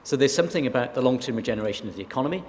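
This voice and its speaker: A man from South Wales, a council leader, giving measured, reasoned answers on a panel. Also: medium pitch, animated, a little mellow. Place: conference hall. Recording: reverberant hall, faint background noise.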